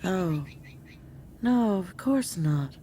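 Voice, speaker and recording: A woman's voice: lowish voice, expressive, drawn-out words, dark tone, a little hiss or hum. An actress playing a middle-aged, hard-drinking single mother, defensive and foul-mouthed, in an audio drama. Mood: suspicious, defensive.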